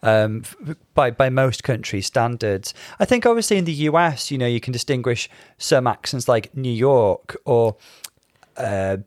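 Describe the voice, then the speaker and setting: A British man with a medium-pitched voice speaks as a podcast co-host. His commentary is casual yet articulate and measured. The sound is dry and close, with no echo. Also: expressive. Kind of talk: casual TV show recap commentary.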